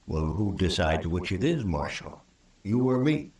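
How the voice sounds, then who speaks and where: Western townsman, American, low voice, folksy and stubborn, vintage radio style, distant roomy sound. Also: sing-song, a little slow, a little mellow. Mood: stubborn, challenging. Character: stubborn, plain-spoken frontier townsman with an uneasy conscience. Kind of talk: old-time radio western acting.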